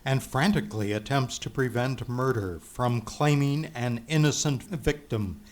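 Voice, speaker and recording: An actor playing a sinister, mysterious narrator of a 1940s-style radio thriller, portentous and dramatic. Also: male voice, mid-range voice, unhurried, natural voice. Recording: loud keyboard music under the voice.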